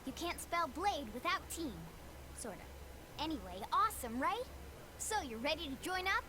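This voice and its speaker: A woman's voice: high-pitched, very animated, normal speed, noisy background. An actress playing an eager, chatty young female recruiter in a video game cutscene, with a bubbly, pushy manner. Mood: upbeat, eager.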